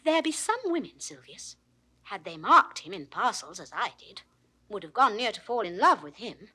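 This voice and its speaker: Bright, swooping young shepherdess. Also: female voice, medium pitch, big pitch swings, normal speed, breathy edge, full character voice. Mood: indignant. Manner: theatrical, swinging between musing and indignation. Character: proud, fickle young shepherdess smitten with a youth. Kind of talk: classical Shakespearean stage acting. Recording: 1960s studio audio drama recording.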